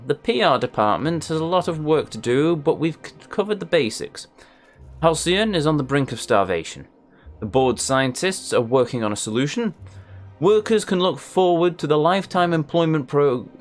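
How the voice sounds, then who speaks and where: A man hosting a let's play, reading on-screen messages aloud and adding wry asides. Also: fairly high pitch. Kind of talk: casual game commentary.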